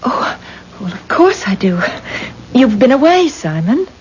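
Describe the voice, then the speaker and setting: Wistful, gentle young woman. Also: on the high side, big pitch swings, unhurried. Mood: shy, polite. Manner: tender, wistful, theatrical. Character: gentle, sorrowful, devout young woman in love. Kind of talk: 1970s American radio drama acting. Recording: dull, faintly noisy 1970s radio recording.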